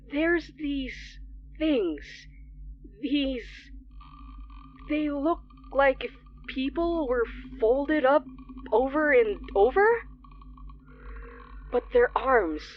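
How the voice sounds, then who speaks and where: High-pitched, frightened radio DJ. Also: female voice, highs cut off, slight background noise, full character voice. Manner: shaky, hesitant, halting. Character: frightened young radio DJ trapped overnight in horror. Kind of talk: horror audio drama acting.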